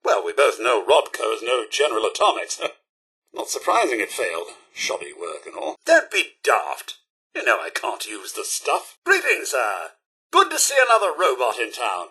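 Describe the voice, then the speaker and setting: An actor playing a prim, fussy, snobbish robot butler in a video game, with a British accent and a formal, pompous, theatrically polite manner. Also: male voice, mid-range voice, wildly swooping pitch, normal speed, sharp tone, husky, heavily acted. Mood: chatty, proper. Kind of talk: scripted game companion dialogue.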